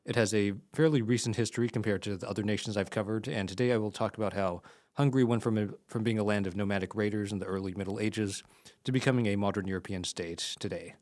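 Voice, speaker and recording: A man's voice: fairly low pitch, steady tone, fast, a little mellow. A man hosting a history podcast, talking in a casual, chatty way with many fillers. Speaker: podcast host. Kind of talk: casual interview.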